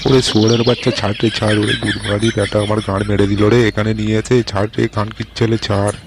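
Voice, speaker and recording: A man's voice: fairly low pitch, little pitch movement, fast, creaky. A man voicing a whining village beggar in a comic cartoon dub, with a repetitive, pleading manner. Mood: outraged. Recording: noisy track with birdsong.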